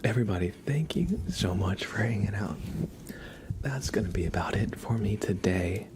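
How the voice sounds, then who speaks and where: A soft-spoken man hosting a live ASMR stream, chatting casually with viewers and often dropping into whispers, close to the microphone. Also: lowish voice, expressive, normal speed, background noise. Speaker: ASMR livestreamer, host.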